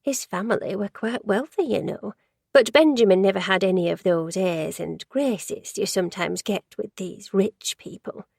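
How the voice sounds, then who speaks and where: A woman's voice: mid-range voice, moderate pace, creaky, a little mellow, dry recording, clean studio sound. A woman voicing a gossipy, judgmental older woman who works in an office, rambling and easily sidetracked in a storybook reading.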